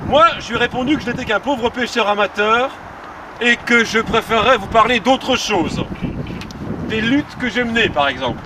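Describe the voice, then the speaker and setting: Actor as high-voiced, declaiming amateur angler, far off. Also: male voice, expressive, moderate pace. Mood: earnest, projecting. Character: modest amateur angler addressing schoolchildren, proud old campaigner. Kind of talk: outdoor theatrical monologue. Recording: distant mic, noisy outdoors with wind.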